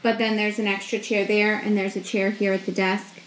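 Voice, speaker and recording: A young woman giving an upbeat, slow walkthrough of a hotel suite, pointing things out as she goes, recorded on a handheld camera. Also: medium pitch, flat delivery. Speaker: vlogger giving a room tour. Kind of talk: casual walkthrough narration. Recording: echoey rooms with faint ambience.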